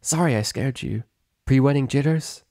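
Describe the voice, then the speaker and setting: A man's voice: mid-range voice, moderate pace, a little mellow, no room sound, clean studio sound, pitched above the performer's own voice, close to an everyday voice. A man voicing a cocky, easygoing middle-aged female pegasus pony who brags casually, in a storybook reading. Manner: breezy, bragging, casual.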